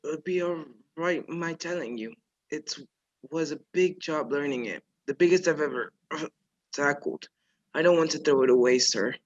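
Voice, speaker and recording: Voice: medium pitch, normal speed, fairly bright tone, close to an everyday voice. Someone acting a formal, precise stage memory performer. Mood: weak, dutiful. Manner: recites facts formally. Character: Mister Memory, precise music-hall memory performer, later fading. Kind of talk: radio play acting. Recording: dull lo-fi virtual recording.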